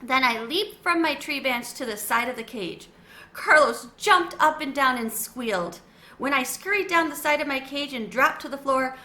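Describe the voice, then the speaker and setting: Bright, animated woman reading aloud. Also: high voice. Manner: expressive, animated storytelling. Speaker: storybook reader. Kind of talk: children's read-aloud narration.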